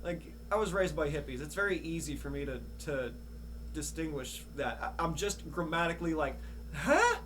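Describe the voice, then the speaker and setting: A man's voice: on the high side, big pitch swings, normal speed, a bit bright. A man chatting casually as a podcast host in a rambling, laid-back, profane way. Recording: clear hum and background noise.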